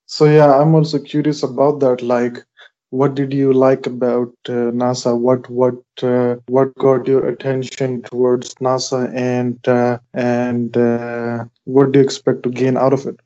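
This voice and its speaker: A man asks casual interview questions with halting phrasing. His voice is slightly mellow and quite flat in intonation. The audio is a bit muffled and lo-fi. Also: mid-range voice. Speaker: podcast interviewer.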